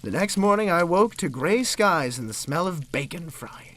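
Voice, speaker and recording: Man acting fussy young gentleman, animated delivery. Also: fairly high pitch, moderate pace. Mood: narrating, wry. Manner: polite, incredulous, fussy. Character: young, prim, flustered interior designer, exasperated gentleman narrator. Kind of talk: theatrical first-person narration and dialogue.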